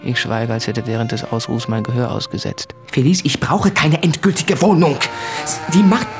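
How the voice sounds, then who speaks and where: An actor playing a guilt-ridden male writer in a radio play, confessing in an intense, introspective, articulate monologue with a husky voice. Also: medium pitch, steady tone, moderate pace, over music, natural voice. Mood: anxious, pleading. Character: anguished, guilt-ridden male writer addressing his fiancée. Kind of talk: literary radio play monologue from letters and diaries.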